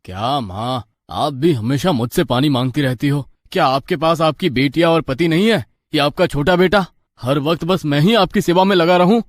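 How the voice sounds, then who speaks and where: A man's voice: fairly high pitch, moderate pace, dry recording, close to an everyday voice. Bewitched young husband, played by a man, dry recording. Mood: irritated. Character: bewitched, irritable grown son and husband.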